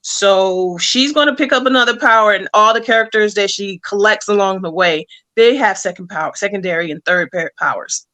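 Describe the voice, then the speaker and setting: A woman's voice: medium pitch, a little fast, hardly any pauses, pushed and bright. A woman speaking as an interview guest, casually explaining her creative work.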